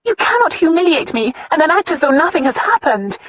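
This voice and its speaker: A narrator doing a fiery young noblewoman, high and indignant. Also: very animated, brisk, dry recording, dull audio. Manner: indignant, demanding.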